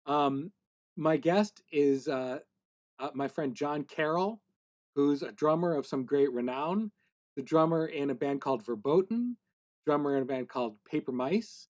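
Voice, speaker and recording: A man's voice: fairly high pitch, a little slow, darkish tone. A man hosting a podcast interview in a casual style with dry joking.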